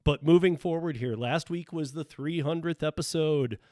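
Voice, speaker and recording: A man hosting a solo podcast, slightly hoarse from losing his voice, chatting casually with lots of fillers and restarts. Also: on the high side, moderate pace, a little mellow, no echo, studio quality. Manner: rambling, many fillers and restarts. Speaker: podcast host. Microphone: podcast microphone.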